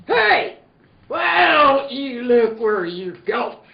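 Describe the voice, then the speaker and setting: A woman playing a rough, nervous outlaw henchman in a radio western, slangy and stammering with bluster. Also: high-pitched, big pitch swings, drawn-out words, full character voice. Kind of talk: 1940s radio western acting. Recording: roomy, dull lo-fi recording.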